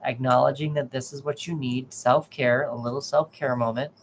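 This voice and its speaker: Gravelly man giving a tarot reading. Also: medium pitch, fairly even intonation, normal speed, slight background noise. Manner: gentle, reassuring, lots of restarts. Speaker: tarot reader. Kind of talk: live tarot reading, casual advice.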